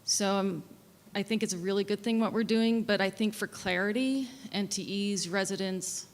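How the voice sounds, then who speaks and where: A soft-spoken woman, a local resident and architect, giving earnest public testimony at a hearing, explaining with technical terms and many fillers. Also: mid-range voice, normal speed. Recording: echoey hall, faint noise.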